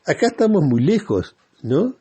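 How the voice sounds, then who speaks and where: Argentine man, radio political commentator, mellow husky voice, didactic delivery, rhetorical questions. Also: high voice, very animated, normal speed.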